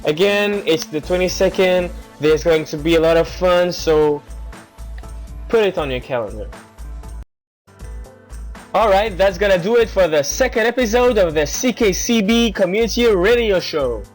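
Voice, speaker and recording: Male, community radio host, fairly high voice, scripted announcing, soft guitar backing. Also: expressive, normal speed.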